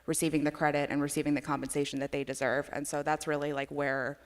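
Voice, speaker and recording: An earnest woman speaks as a panelist at a conference, leaning on fillers as she goes. Her voice sits low for a woman. The long reverb of a large hall trails behind her. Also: flat delivery, fast. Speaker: panelist, editor.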